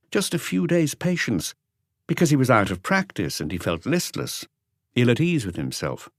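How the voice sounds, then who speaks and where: A man's voice: fairly low pitch, no echo, studio quality. A man reading a crime novel aloud as scripted literary audiobook narration.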